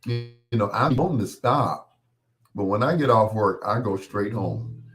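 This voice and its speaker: A middle-aged man with a very low voice, gone hoarse from a cold. He hosts a late-night radio show in a loose, rambling, folksy way and chuckles at his own corny jokes. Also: unhurried, fairly bright tone, faint music behind.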